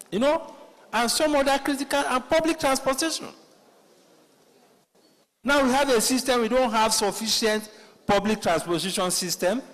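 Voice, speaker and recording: Emphatic high-voiced man. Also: fairly bright tone. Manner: emphatic, rhetorical questions. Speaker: panelist. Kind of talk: conference panel remarks. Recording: long hall reverb.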